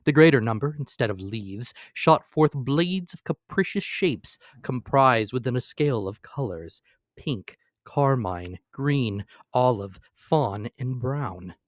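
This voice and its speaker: Man, medium pitch, dramatic literary narration, theatrical emphasis, dry close sound. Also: expressive, slow pace, no echo. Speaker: audiobook narrator.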